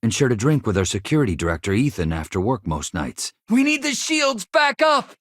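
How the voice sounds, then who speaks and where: A man's voice: mid-range voice, wildly swooping pitch, a little fast, fairly bright tone, dry recording, studio quality. A man voicing an earnest, anxious space engineer in a video game, a shaken survivor who speaks politely and hesitantly. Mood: earnest, reflective, then urgent shouting.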